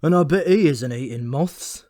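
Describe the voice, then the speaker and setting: Man voicing a grumbling, high-pitched, short-tempered talking raven. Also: normal speed, warm tone, no room sound, studio quality, lightly acted. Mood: fed up. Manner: blunt, irritable, colloquial. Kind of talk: comic fantasy audiobook character voice.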